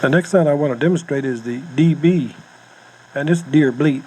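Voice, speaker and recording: American guy on a kinda noisy old cassette, slightly higher voice, folksy plain talking hunting lesson. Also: normal speed, a little mellow. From United States. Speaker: hunting instructor. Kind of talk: informal instructional narration. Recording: noisy home-style cassette tape recording.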